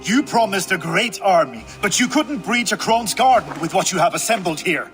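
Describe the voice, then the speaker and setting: An actor playing a seasoned, middle-aged Viking warlord in a video game, wry and commanding. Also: male voice, sits high, animated, moderate pace, fairly bright tone, long reverb tail, faint music behind. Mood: skeptical. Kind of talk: dramatic video game acting.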